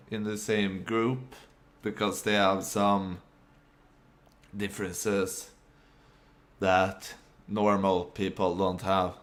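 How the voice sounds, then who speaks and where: Non-native English man, very deep, unhurried, talks in fits and starts. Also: a bit bright. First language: not English. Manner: halting, many fillers and restarts. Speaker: vlogger. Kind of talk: casual unscripted monologue.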